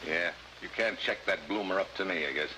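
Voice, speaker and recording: A man's voice: sits low, a little fast, lightly acted. An American man playing a tough, wisecracking special agent in a 1950s adventure drama, with a sardonic, slangy delivery. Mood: hard, accusing. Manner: hard-boiled, sardonic, slangy. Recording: faintly noisy vintage 1950s TV soundtrack.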